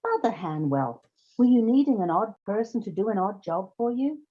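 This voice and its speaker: A woman playing a quick-witted, sarcastic private detective in a comic radio play, in a slightly muffled recording. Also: medium pitch, lively intonation, slightly rough. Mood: wry. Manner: wry, sarcastic. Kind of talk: comic old-time radio play acting. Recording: slightly dull, muffled sound.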